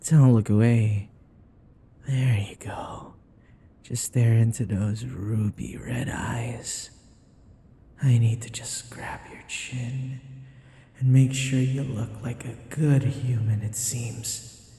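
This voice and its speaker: A possessive male vampire played by a man for an ASMR roleplay. He speaks very slowly in a dark, velvety-soft register, heavy with breath and often sinking into a whisper. His manner is teasing and hypnotic, coaxing his captive. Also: medium pitch, steady tone, slightly rough, heavily acted. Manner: slow, coaxing, taunting.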